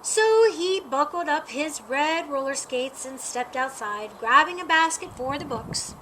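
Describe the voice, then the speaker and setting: A woman narrates a picture book for children in a high, bright voice. Her reading is expressive and unhurried, with some noise behind her. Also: low-quality recording. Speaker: storybook reader.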